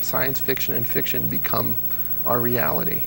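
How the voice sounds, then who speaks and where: Guy with a bit of fry in his voice, storytelling, slight hum in the recording. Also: medium pitch, normal speed. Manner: animated storyteller, mimics voices in anecdotes. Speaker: interview guest, old-time radio fan. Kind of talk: casual anecdotal chat.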